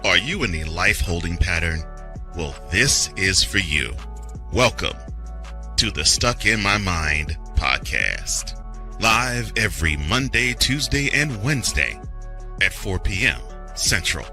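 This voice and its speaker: Deep, slow man reading a promo. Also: big pitch swings, pushed and bright. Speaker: promo announcer. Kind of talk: podcast promo read. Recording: music bed behind the voice.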